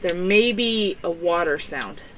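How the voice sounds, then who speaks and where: A woman's voice: on the low side, lively intonation, measured pace, a bit bright, a little hiss or hum. A young woman hosting a crafting stream, chatting casually and rambling with lots of fillers. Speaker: crafting channel host. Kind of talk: casual chat while crafting.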